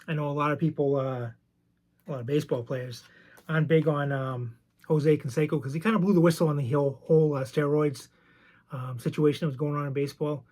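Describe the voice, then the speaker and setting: A man with a rough, gravelly voice sits somewhat above the usual male pitch. He chats his way through his baseball cards in a relaxed, rambling manner and drifts into side stories as he goes. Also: a little fast. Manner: easygoing, chatty, wanders off on side stories. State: voice roughened by medication. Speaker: vintage card collector and channel host. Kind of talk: casual show-and-tell about baseball cards.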